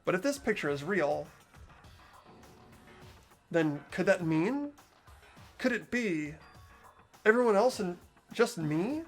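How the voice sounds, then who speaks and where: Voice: mid-range voice, very animated, slow, long pauses, soft background music, exaggerated voice. A fan dubber voicing an anxious teenage boy student, narrating his worried inner thoughts in a game. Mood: puzzled. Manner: earnest inner monologue, worried questioning. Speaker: host and lead voice actor.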